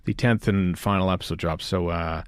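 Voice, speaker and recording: Low-voiced Canadian man, rambling. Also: steady tone, normal speed, dry recording, studio quality. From Western Canada. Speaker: podcast host. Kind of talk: casual chat.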